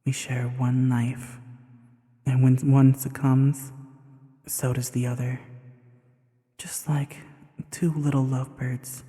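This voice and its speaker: A man playing a possessive, tender evil sorcerer in an intimate audio roleplay, speaking soothingly and with soft menace straight to the listener. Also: medium pitch, little pitch movement, slow, long pauses, close to an everyday voice. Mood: ominous. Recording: long hollow reverb.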